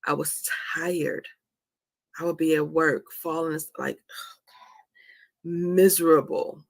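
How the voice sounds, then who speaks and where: A woman's voice: low voice, flat delivery, slow. A woman in her forties giving a candid motivational testimony as a host, emphatically repeating phrases for effect. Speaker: host of a live talk on anxiety.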